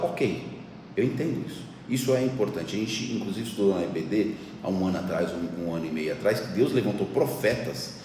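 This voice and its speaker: Deep-voiced man teaching in an echoey hall. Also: a little hiss or hum. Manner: didactic, explains step by step, animated when mimicking a politician. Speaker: church music director. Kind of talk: podcast interview, teaching. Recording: echoey room from far off, faint ambience.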